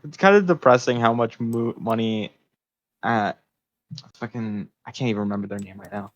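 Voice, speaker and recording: A man's voice: fairly low pitch, moderate pace. A man co-hosting a podcast in casual, informal chat with loose swearing, on a dull microphone. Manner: profane, informal. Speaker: podcast co-host.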